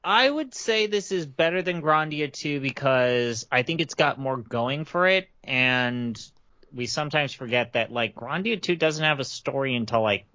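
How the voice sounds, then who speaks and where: A man's voice: medium pitch, lively intonation, moderate pace, bright, forceful tone, no echo. A man co-hosting a casual podcast chat, emphatic and blunt, swearing freely. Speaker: podcast co-host. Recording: dry, dull lo-fi podcast audio.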